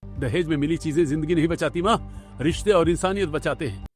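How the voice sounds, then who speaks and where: A man's voice: on the high side, brisk, pitched above the performer's own voice, lightly acted. A man voicing an indignant young husband who firmly reproaches his parents in a cartoon story. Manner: firm, reproachful. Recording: background music under the voice.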